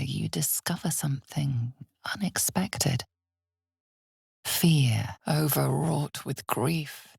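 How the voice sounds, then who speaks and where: An ominous female narrator for a dark fantasy game, low and heavily creaky. She tells the tale slowly and knowingly, with weighty pauses and a deliberate, dramatic delivery. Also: dry recording, studio quality, heavily acted. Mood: hushed, grave. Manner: measured, deliberate, weighty pauses, sometimes dropping to near whisper.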